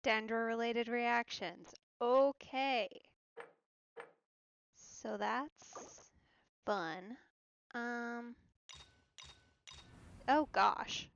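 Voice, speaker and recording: A woman game streamer giving casual, gushing reaction commentary full of soft exclamations. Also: a little high, extremely slow, gravelly, long pauses, a little hiss or hum. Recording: dull band-limited sound, music behind. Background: game music.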